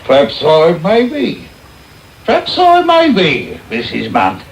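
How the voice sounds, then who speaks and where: Pompous, high-voiced parish beadle. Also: male voice, huge pitch swings, slow pace, vocal fry, heavily acted. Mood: pompous, self-satisfied. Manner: pompous, declamatory, grandiose. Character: pompous, callous, self-important male parish beadle. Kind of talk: period radio drama acting. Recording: noisy off-air radio recording, roomy echo.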